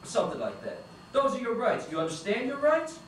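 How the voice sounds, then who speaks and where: A man's voice: extremely high pitch, wildly swooping pitch, fairly quick, full character voice. An actor reading a cocky, wisecracking male cop who jokes and swaggers through his lines, at a table read. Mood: taunting. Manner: joking, swaggering banter. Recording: echoey room, distant mic, background noise.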